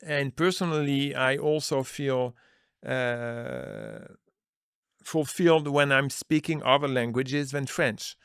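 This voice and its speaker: Earnest man with a gravelly voice. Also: medium pitch, very animated, slow pace, a bit bright, no room sound, studio quality. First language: Dutch. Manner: earnest, searching for words, many restarts. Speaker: business manager, interview guest. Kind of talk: conversational interview answers.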